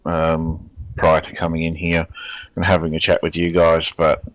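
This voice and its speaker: An Australian race director in an online interview, explaining rulings in a measured way with frequent ums. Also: male voice, extremely low pitch, completely flat delivery, fairly bright tone, no room sound, low-quality recording.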